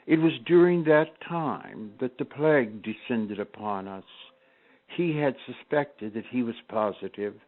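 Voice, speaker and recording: Tinny, phone-like recording of a man reciting poetry. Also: medium pitch. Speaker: poet reading own poem. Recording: tinny, narrow phone-like sound.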